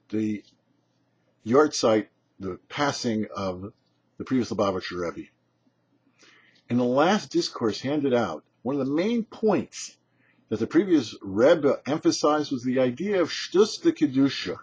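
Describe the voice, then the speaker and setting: A man with a husky voice, giving a short scripted religious teaching as a rabbi in an emphatic, explanatory way. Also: mid-range voice. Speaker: rabbi and show host. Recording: dull, muffled lo-fi audio.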